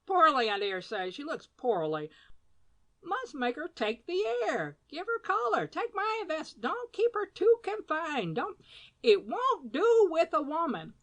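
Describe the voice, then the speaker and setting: A woman reading an audiobook, voicing a brash, nosy gentleman who talks in clipped, chirpy phrases. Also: high voice, big pitch swings, measured pace, voice pushed up. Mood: breezy. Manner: clipped, chirpy phrases dropping their subjects. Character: brash chatty middle-aged gentleman, jaunty and nosy. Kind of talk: audiobook character voice.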